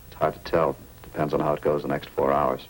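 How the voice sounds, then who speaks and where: Weary night-duty professional, American, 1950s radio police drama. Extremely low, brisk, matter-of-fact, faintly noisy old recording. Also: male voice, little pitch movement. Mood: somber, brisk.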